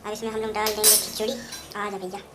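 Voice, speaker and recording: A woman's voice: medium pitch, flat delivery, fast talker. A woman with an Indian accent casually narrating her cooking, with dishes and pans clinking behind her. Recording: loud clinking dishes and kitchen noise. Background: clattering cutlery, pots and pans.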